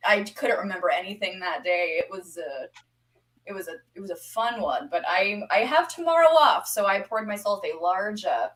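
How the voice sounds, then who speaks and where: A woman's voice: mid-range voice, expressive, moderate pace, a bit bright, poor audio. A woman around thirty chatting casually as a podcast panelist. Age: 30s. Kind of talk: casual chat.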